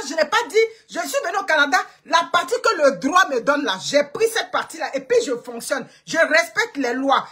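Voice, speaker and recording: A woman's voice: very high voice, pushed and bright. A woman from Côte d'Ivoire delivering an emphatic, repetitive political monologue, lecturing her audience and hammering her points home. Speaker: online commentator. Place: home in Canada.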